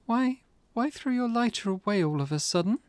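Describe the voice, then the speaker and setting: A man voicing a distraught, horrified woman in a visual novel, halting and wailing. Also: high-pitched, lively intonation, a little mellow, smooth, no room sound, voice pushed up. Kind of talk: reading visual novel dialogue in character. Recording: music under the voice.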